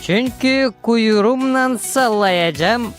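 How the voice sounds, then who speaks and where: A woman's voice: a little high, slow, soft background music. A woman voicing a cheeky, teasing young animal with a tail in a cartoon, full of exclamations. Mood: cheeky, boastful. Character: cheeky tailed young animal who wants to conduct. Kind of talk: cartoon character acting.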